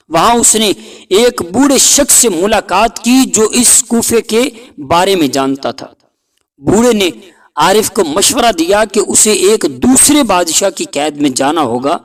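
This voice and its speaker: A man narrating a scripted folk tale in a deliberate, dramatic storytelling manner. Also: sits high.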